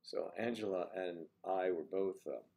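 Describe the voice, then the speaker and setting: An older man with a congested, deep voice, chatting casually and teasingly on his morning livestream, greeting viewers by name. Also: normal speed, gravelly, dark tone. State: congested with a cold. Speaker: livestream host.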